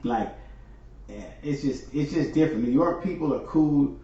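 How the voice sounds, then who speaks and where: A man's voice: medium pitch, lively intonation, moderate pace, dark tone. A man from California hosting his stream, talking on his own in a rambling, chatty way full of fillers. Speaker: host. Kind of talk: casual solo monologue. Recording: echoey, distant, dull sound.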